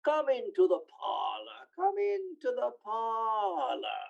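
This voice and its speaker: An actor playing an old, miserly junk dealer in a radio play, sly and theatrical. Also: male voice, very high-pitched, huge pitch swings, slow, creaky, heavily acted. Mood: sly, welcoming. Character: old, shabby, miserly male rag-and-bone dealer. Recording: muffled, dull audio.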